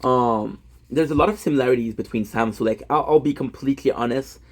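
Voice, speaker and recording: A man's voice: medium pitch, fairly quick. A man in his thirties, a gaming content creator, talking in a rambling, self-deprecating monologue full of fillers and restarts. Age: 30s.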